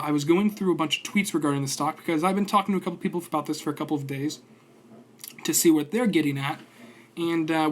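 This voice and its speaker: A man's voice: a little high, slight background noise. A man in his twenties giving casual, rambling stock commentary on his stream, full of fillers and restarts. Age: 20s.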